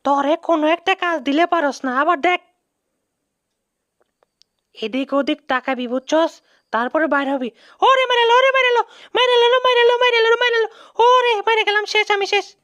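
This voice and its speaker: A cartoon mouse uncle who speaks very high and close in a colloquial Bangladeshi accent. He is bossy and boastful, and gets flustered easily, repeating himself. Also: moderate pace, no room sound, dull audio. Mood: panicked. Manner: excitable, repeats words when panicking. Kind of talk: comic cartoon dub.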